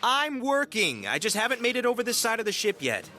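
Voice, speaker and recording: A man's voice: very high voice, huge pitch swings, a little fast, sharp tone, exaggerated voice. A man playing an irritable, sarcastic sailor in an audio drama, griping at his crewmate. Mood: irritated.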